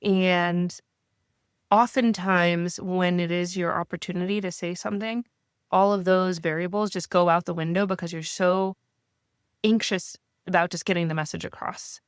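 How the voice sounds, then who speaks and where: A woman in her thirties who is getting over a cold, speaking as a guest in an interview in a measured, reflective way. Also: on the low side, fairly even intonation, smooth, dry recording, studio quality. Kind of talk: interview conversation.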